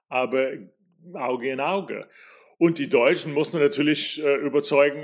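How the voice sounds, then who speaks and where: A middle-aged man from New York with an American accent, a native English speaker, hosting a solo podcast in a slow, reflective way with pauses and fillers. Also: mid-range voice. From New York, USA. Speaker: podcast host.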